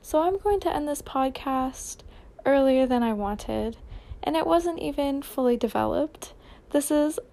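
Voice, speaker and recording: A young American woman with a high voice talks through a casual podcast at an unhurried, slow pace. She rambles informally, often second-guessing and correcting herself. Her tone is soft-edged and mellow rather than bright. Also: expressive, creaky. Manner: informal, self-questioning, trailing off and correcting herself. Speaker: solo podcast host.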